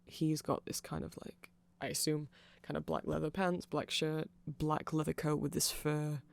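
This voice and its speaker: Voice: medium pitch, little pitch movement, normal speed, creaky. A person giving a rambling, informal and opinionated ASMR-style explanation as a content creator.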